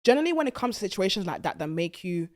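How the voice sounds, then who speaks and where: A woman's voice: mid-range voice, very animated, very fast, darkish tone. A woman from the UK hosting a casual interview, candid and teasing. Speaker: podcast host.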